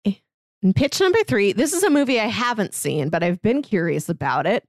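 A woman co-hosting a podcast in a casual, conversational style. Her pitch is average and she talks in a chatty, enthusiastic way. The sound is dry, with little room in it. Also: sing-song, brisk, dry recording. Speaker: podcast co-host pitching movies. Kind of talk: casual chat.

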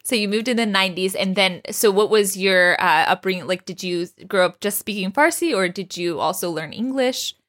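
A woman's voice: medium pitch, normal speed, few pauses, fairly bright tone. A woman hosting a podcast, reading an upbeat introduction and then chatting and laughing easily with her guest. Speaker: podcast host. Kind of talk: scripted intro then casual interview.

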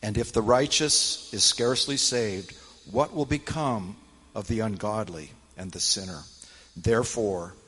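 A man reads scripture aloud as a church elder, solemnly and with measured, deliberate phrasing. His voice is husky. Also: on the low side, a bit bright. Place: large church hall, speaking to congregation. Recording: long hall reverb.

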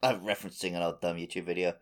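A man's voice: low-pitched, level tone, very fast. A man co-hosting a podcast, talking casually about a video game. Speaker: podcast co-host.

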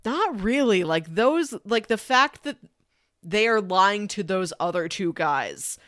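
A woman's voice: mid-range voice, lively intonation, slow, a bit bright, dry recording, clean studio sound. A woman talking casually and analytically as a podcast co-host discussing a film.

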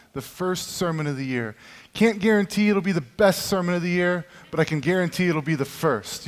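A man in his early thirties preaches in a church hall with a long, ringing reverb. His voice sits a little high for a man, and he tells stories with self-mocking humor. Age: 30s. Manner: self-deprecating, humorous storytelling. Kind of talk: sermon.

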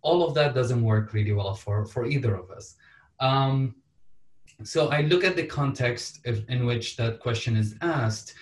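A man's voice: mid-range voice, unhurried, far from the mic. Syrian-born man, roomy and off mic. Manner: earnest, wry asides. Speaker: panelist. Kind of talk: panel discussion answer.

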